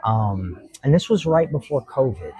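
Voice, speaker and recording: Earnest halting judge, Kentucky man. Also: medium pitch, very animated, mellow, low-quality recording. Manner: earnest, halting, many fillers and restarts. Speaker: judge, podcast guest. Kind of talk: casual interview talk.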